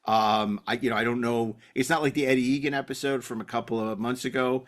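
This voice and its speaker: A man hosting a podcast in casual, rambling chat, halting often and repeating his words. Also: medium pitch, fairly even intonation. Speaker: podcast host.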